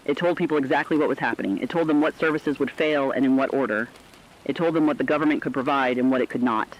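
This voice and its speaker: A man acting as an earnest crisis broadcaster in a radio drama, talking quickly. His delivery is solemn and rhetorical, like a scripted address to listeners. The sound is dull, lo-fi radio. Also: medium pitch, close to an everyday voice. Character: earnest male emergency broadcaster honouring ordinary people in crisis.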